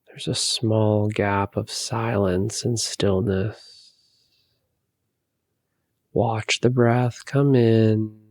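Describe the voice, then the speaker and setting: A man leading a guided meditation, giving calm, soothing, unhurried instructions with long pauses. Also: on the low side, no room sound.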